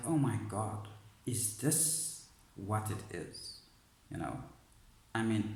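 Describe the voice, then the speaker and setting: A man telling a personal story in a thoughtful, searching way, often pausing and restarting his sentences. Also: fairly low pitch, reverberant, poor audio. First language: not Arabic. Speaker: vlogger sharing personal story. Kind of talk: reflective personal monologue.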